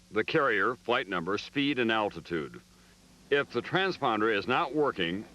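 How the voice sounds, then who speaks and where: A man reading scripted news documentary narration in a measured, dramatic way. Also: mid-range voice, a bit bright. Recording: dull audio with faint background noise.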